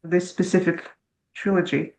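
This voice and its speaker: A woman's voice: fairly low pitch, flat delivery, normal speed, darkish tone. A woman interviewing an author, asking short, friendly questions.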